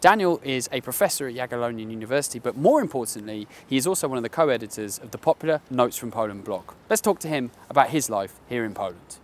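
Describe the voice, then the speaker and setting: A man's voice: mid-range voice, animated, quick pace, hardly any pauses. A man hosting an interview, asking questions in a friendly, joking manner in a temporary office studio. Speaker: podcast host. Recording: echoey room with faint background hum.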